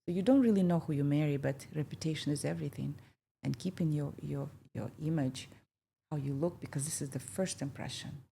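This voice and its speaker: A woman in her fifties from Kazakhstan hosting a reflective conversation, talking thoughtfully with many pauses and fillers. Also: low-pitched, creaky, dark tone, a little hiss or hum. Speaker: podcast host.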